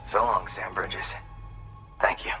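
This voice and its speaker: A man's voice: low voice, slow pace, in a whisper, over loud music. A man acting as an embittered, distrustful junk dealer in a video game, speaking in a curt, wary way. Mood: grudging, grateful. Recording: distant from mic, clear background noise.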